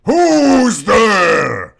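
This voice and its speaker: Angry school janitor, acted by a man, loud barking yells, raised pitch, suspicious, comic story reading. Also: huge pitch swings, very slow, creaky, crowd noise, exaggerated voice. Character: angry shouting old-school janitor searching with a flashlight.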